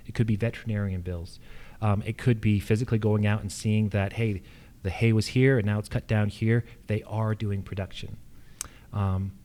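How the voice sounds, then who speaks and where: Brief: American man, low pitch, very soft mellow tone, halting explanatory delivery as a political candidate answering questions. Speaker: incumbent candidate for county assessor. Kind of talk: extemporaneous answers at a candidate forum.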